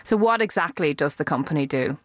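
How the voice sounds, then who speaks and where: Woman radio host, lowish voice, dry studio sound, doing intros and interview questions. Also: lively intonation, brisk, no echo.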